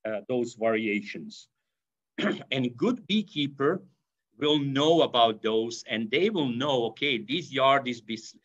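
A middle-aged man from Yugoslavia with an Eastern European accent, giving an online lecture, often pausing with fillers and restarting phrases. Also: mid-range voice, fairly bright tone. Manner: hesitant, many fillers and restarts. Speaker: veterinary professor. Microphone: video call webcam. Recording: slightly dull video call audio.

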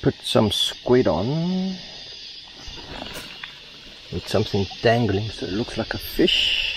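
Low-voiced man, distant amid loud outdoor noise. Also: big pitch swings, takes it slowly. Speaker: fishing vlogger, host. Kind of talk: casual solo vlog narration while fishing. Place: outdoors on a paddleboard on open water. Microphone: action camera mounted on the board, at a distance.

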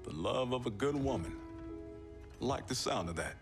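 A man's voice: mid-range voice, lively intonation, moderate pace, music in the background, close to an everyday voice. A man with an American accent acting a tough, sincere young soldier in a video game cutscene. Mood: reflective.

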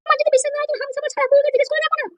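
A woman's voice: extremely high pitch, level tone, rapid-fire, a bit bright, muffled recording. A woman voicing a defensive, pleading wife in a comedy cartoon, full of excuses.

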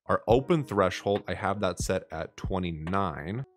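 A young man in his twenties with a low voice, talking through step-by-step software settings on a studio mic in a small bedroom studio. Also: measured pace. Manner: steady step-by-step instructions. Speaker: streaming tutorial creator. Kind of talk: screen-recorded software tutorial. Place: small dim bedroom studio with blue lighting. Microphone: studio mic on boom arm.

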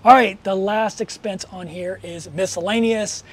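A man's voice: sits high, fairly even intonation, measured pace. A man in his forties, a travel vlogger chatting casually and explaining things step by step, speaking outdoors on a cruise ship balcony into a lapel mic. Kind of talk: casual talking-head vlog. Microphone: clip-on lapel mic.

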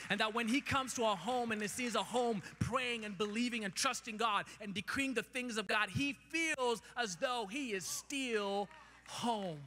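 Pastor preaching in a big hall. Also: male voice, high voice, bright, forceful tone. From Kenya. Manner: preaching with rising emphasis, gesturing while walking the stage. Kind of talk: sermon. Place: large church stage, indoors. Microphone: handheld mic. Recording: long hall reverb.

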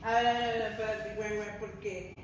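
A woman's voice: medium pitch, flat delivery, fast talker. A middle-aged woman hosting a casual interview in informal, slangy language. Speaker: host. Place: indoor lounge nook with brick wall and concrete floor. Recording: echoey room with clear background noise.

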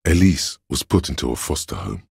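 An extremely husky, gravel-edged male voice of pure logic, recorded close and dry. He narrates a video game as a cold, analytical inner thought. His delivery is deliberate and didactic, addressing the listener directly in short, clipped deductions. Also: extremely low pitch, very animated, a little mellow, dry recording, full character voice. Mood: deductive, dry. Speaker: voice actor. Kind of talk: dramatic second-person game narration.

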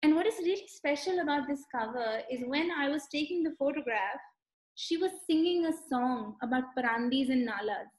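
Woman, young author, high voice, talks on a video call from a room that echoes a bit. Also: lively intonation, fairly quick. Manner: warm storyteller, lingering on striking details. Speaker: author being interviewed. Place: room with bookshelves, indoors.

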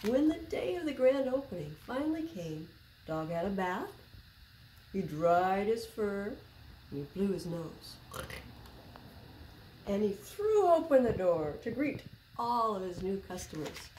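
A woman in her sixties reads to children in an unhurried, expressive way. Her voice is soft-edged and mellow at a medium pitch. She is recorded a few feet from the camera in an echoing indoor room with faint background noise. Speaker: library storyteller. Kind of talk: picture book read-aloud for children. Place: small public library room, indoors.

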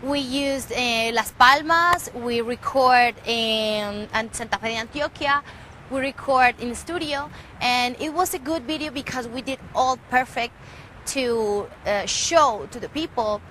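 A woman's voice: fairly high pitch, measured pace, sharp tone, a little hiss or hum. A young woman from Medellín, a singer with a Colombian Spanish accent, talking earnestly in English as her second language and searching for words, interviewed outdoors in a garden. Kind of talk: casual interview.

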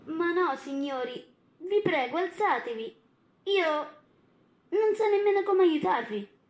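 A woman's voice: very high-pitched, expressive, slow, echoey room, lo-fi sound, a little hiss or hum, exaggerated voice. A woman voicing a small, naive young alien boy, timid and hesitant, in a children's cartoon. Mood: hurt, flustered. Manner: hesitant, innocent.

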